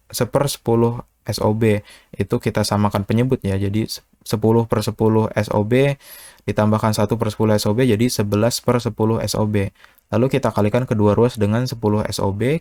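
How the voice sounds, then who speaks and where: Low-voiced male tutor, dry sound. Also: a little mellow, dry recording. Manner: patient, methodical, with filler "eh" and "ya". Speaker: online tutor. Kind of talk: step-by-step physics problem walkthrough.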